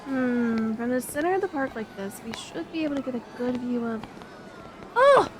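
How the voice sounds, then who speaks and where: A woman playing an expressive, girlish young best friend, sweet and sometimes hesitant, in an ASMR roleplay. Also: fairly high pitch, moderate pace, noisy background. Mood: excited. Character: excitable young woman on an outing with her best friend, sweet and easily discouraged. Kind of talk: ASMR roleplay audio drama addressed to the listener.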